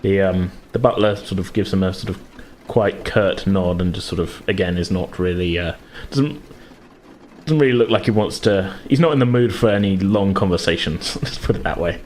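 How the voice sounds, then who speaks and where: Man game-mastering, clear background noise. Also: deep voice, darkish tone. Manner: halting, conversational descriptions. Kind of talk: improvised tabletop game narration.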